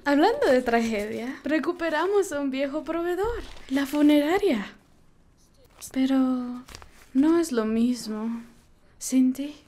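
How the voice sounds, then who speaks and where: A woman's voice: high-pitched, expressive, slow, a little mellow, a little hiss or hum. A woman playing a wry, melancholy female cat club owner in a cartoon, with a Latin American accent. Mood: wistful. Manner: languid, wry. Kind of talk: animated cartoon fandub.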